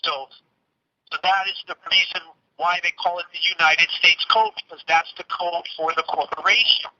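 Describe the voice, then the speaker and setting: A man with a high, very sharp and forceful voice lectures over a dull phone conference line. He explains point by point, circling back on his phrases and posing questions that he answers himself. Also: little pitch movement, moderate pace. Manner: didactic, repeats phrases and restarts sentences, poses rhetorical questions. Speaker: interviewee. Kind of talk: explanatory talk on a conference call. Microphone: phone call.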